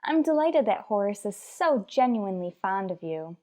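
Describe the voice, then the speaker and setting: A woman playing a wry, sardonic high school English teacher in an old-time radio sitcom, delivering dry wisecracks. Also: very animated, moderate pace, dark tone, natural voice. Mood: dry teasing.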